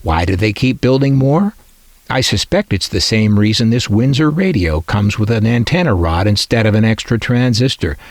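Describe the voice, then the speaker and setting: A man narrates in a fairly low voice that moves up and down expressively. He delivers scripted lines with tongue-in-cheek wit, as the host of a collecting video. The sound is close and dry. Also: animated, moderate pace, dry recording. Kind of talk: scripted narration with playful asides.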